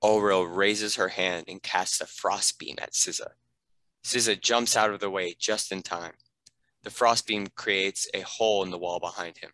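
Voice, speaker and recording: A man's voice: low-pitched, steady tone, normal speed, sharp tone, no echo, low-quality recording. A man reading scripted narration and stage directions for a fantasy script read.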